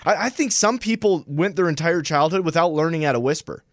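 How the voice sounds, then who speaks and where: A man's voice: on the high side, lively intonation, a little fast, dry recording, studio quality. A young man co-hosting a sports radio show, laid-back and easygoing, rambling through casual banter. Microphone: studio mic with headphones.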